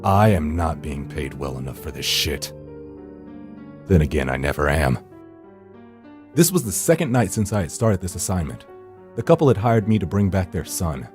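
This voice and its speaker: A man reading a visual novel story as dramatic narration, in a brooding, dry and deliberate manner. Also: lowish voice, darkish tone. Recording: music behind the voice. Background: background music.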